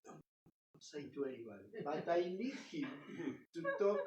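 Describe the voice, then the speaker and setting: A man with a non-native English accent leading a synagogue service, joking with the congregation in a halting, playful way. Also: fairly high pitch, big pitch swings, lo-fi sound, very noisy. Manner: halting, playful, self-interrupting, sings and chants. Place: synagogue sanctuary. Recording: roomy, distant mic, crowd audible.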